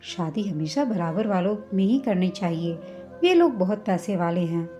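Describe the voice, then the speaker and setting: A woman voicing a meek, long-suffering wife and mother in a told story, speaking gently and dutifully. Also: fairly low pitch, lively intonation, moderate pace, faint music behind, natural voice. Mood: gently urging. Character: meek, long-suffering daughter-in-law, later a cautious widowed mother.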